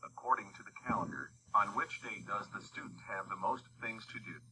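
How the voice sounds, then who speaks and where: A man's synthetic read-aloud voice from testing software, reading instructions and questions in a flat, clipped way. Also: fairly low pitch, brisk, a little hiss or hum, low-quality recording. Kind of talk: synthetic screen reader narration.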